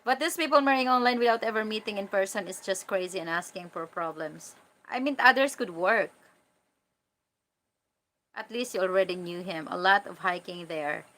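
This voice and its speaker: Filipina accent woman, 30s, casual candid livestream chat, mid pitched voice. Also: normal speed, fairly bright tone, poor audio. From Philippines. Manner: candid, informal, talking directly to viewers. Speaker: vlogger answering live chat comments.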